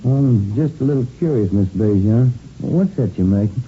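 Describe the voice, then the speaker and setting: An actor playing an easygoing, curious police detective partner, talking in a folksy, understated way in a 1950s radio drama. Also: male voice, medium pitch, expressive, normal speed, lightly acted. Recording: muffled, slightly noisy vintage radio recording.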